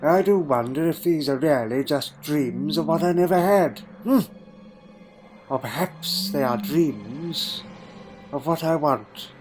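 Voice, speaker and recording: A man plays a wistful, lonely time traveller from another world in an audio drama. His voice sits a little high for a man and moves in short, halting, reflective phrases. Music plays clearly beneath it. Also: animated. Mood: wistful, searching. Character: wistful male alien time traveller recalling a lonely childhood. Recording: music under the voice.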